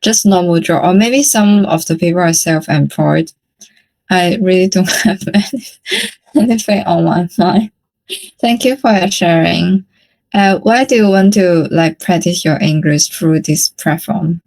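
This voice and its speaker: A woman with a Hong Kong accent and a slightly low voice chats casually. A native Cantonese speaker, she is polite and a little hesitant as she practises conversation. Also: unhurried, gravelly, darkish tone. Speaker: English conversation practice participant. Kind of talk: casual chat.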